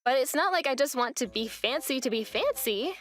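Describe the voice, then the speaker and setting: A woman's voice: sits high, expressive, brisk, dry recording. A young woman playing an indignant, resolute wife who narrates her story expressively in a manga dub.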